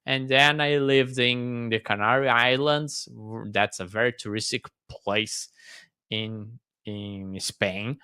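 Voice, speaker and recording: A man's voice: medium pitch, fairly even intonation, slow, bright, forceful tone, clean tone, no room sound. A man hosting a casual interview chat, talking in an enthusiastic, question-asking way. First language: Portuguese. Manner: chatty, enthusiastic, asks questions. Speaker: host.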